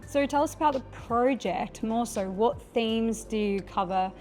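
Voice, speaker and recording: A woman's voice: fairly high pitch, measured pace, darkish tone, faint music behind. A woman interviewing a musician in a friendly, chatty way. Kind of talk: casual interview.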